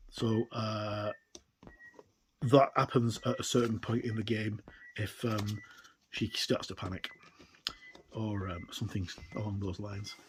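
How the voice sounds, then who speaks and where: A man's voice: medium pitch, darkish tone. Man casually presenting, noisy recording. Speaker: game reviewer and video presenter. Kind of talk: casual unscripted product walkthrough.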